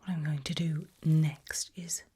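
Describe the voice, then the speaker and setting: A woman softly whispering a medical exam roleplay in ASMR style, giving gentle, deliberate instructions with pauses. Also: sits low, steady tone. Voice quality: soft breathy whisper. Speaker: ASMR performer playing an examiner.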